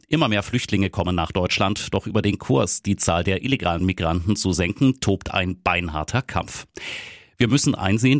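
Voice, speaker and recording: A man with a very low, deep voice reads news bulletins. His delivery is firm and dramatic. The studio sound is dry and close, with no room echo. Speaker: news presenter. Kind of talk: scripted news reading.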